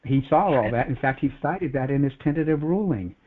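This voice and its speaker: A man's voice: medium pitch, lively intonation, a little fast. Guy on a phone call, earnest, kinda rambling, really narrow phone sound. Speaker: conference call participant. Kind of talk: personal account of a court case, casual. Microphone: telephone conference call. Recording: phone-like conference call audio.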